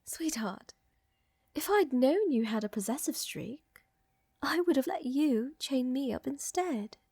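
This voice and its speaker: A woman playing a flirtatious, gently dominant vampire countess in an intimate ASMR roleplay, breathy and often whispering, with teasing, coaxing, formal wording. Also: sits high, lively intonation, measured pace, no echo, heavily acted. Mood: amused, affectionate.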